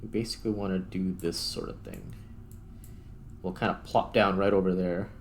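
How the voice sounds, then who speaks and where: A man's voice: deep voice, fairly even intonation, moderate pace, slight background noise. A man giving casual gameplay commentary, thinking aloud in a tentative, self-questioning way as he narrates each step. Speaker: gaming video host.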